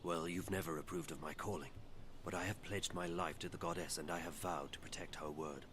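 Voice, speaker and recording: An actor playing a devout, disciplined warrior apostle, formal and respectful, in a fantasy game. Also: male voice, deep voice, steady tone, brisk, noisy background, natural voice. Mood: solemn, earnest. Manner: formal, solemn, deferential.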